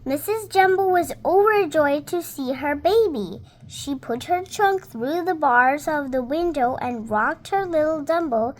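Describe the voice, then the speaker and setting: A girl of about seven reading a storybook aloud in a careful, halting, word-by-word way. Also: high-pitched, lively intonation. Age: child, about seven. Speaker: young reader.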